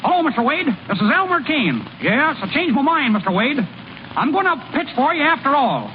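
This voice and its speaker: A man's voice: very high-pitched, very animated. An actor playing a plainspoken small-town American ballplayer and delivery man in old-time radio style, with a folksy, slangy way of talking. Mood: excited, cocky. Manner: folksy, slangy, halting when shy. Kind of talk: 1930s-40s American radio acting. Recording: muffled, noisy old radio broadcast.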